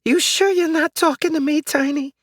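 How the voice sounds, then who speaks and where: A man's voice: very high voice, fairly even intonation, normal speed, fairly bright tone, no echo, clean studio sound, voice pushed up. A man voicing a foul-mouthed, suspicious old woman neighbor who curses and mutters. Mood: bewildered.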